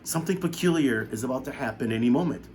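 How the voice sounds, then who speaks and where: A man's voice: medium pitch, lively intonation, fast, a little mellow, a little hiss or hum, natural voice. A man voicing a worried young orphan boy thinking aloud. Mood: anxious.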